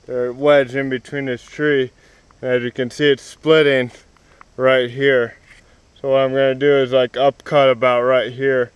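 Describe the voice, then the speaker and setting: A young man explaining his tree cutting as he goes, in a halting casual way with many fillers and restarts, recorded outdoors in a wooded yard on an action camera. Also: mid-range voice, flat delivery, smooth, no room sound. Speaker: vlogger. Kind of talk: casual explanation while working. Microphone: action camera on the ground.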